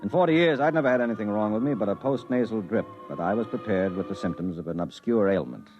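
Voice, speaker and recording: A man's voice: on the low side, fast, darkish tone, close to an everyday voice. An actor playing a mild, earnest investigator and henpecked husband in his forties in a 1950s radio drama. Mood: reflective narration. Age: 40s. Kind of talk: 1950s radio drama acting with first-person narration. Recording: dull vintage radio recording, music behind.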